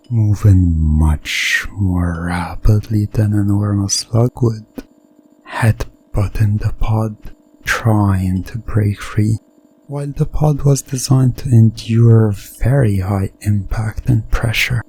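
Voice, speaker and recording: A man narrating a hypnosis ASMR story in the second person, in a deliberate, suspenseful way. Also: on the low side, warm tone, poor audio.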